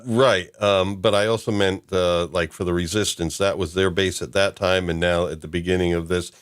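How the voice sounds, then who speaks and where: Deep-voiced man hosting a casual podcast. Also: steady tone, moderate pace, clean tone. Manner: chuckles while talking. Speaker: podcast host. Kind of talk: casual chat about a fan audio drama.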